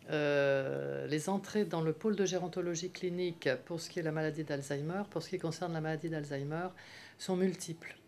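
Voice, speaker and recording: A middle-aged woman from Paris, a geriatrics professor, explaining her department in a measured way with frequent hesitations. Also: sits low, darkish tone, a little hiss or hum. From Paris, France. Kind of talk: explanatory interview answers.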